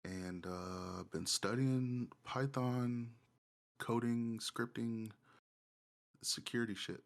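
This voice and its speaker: A man co-hosting a podcast in casual, slangy, somewhat halting talk. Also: mid-range voice, fairly even intonation. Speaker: podcast co-host. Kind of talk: casual chat.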